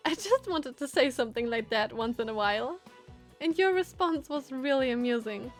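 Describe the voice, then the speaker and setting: A haughty, sardonic lady from a visual novel, as a woman reader puts her on. Her voice is high yet aloof and teasing, with faint music underneath. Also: lively intonation, moderate pace. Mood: amused. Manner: aloof, sardonic, feigned innocence.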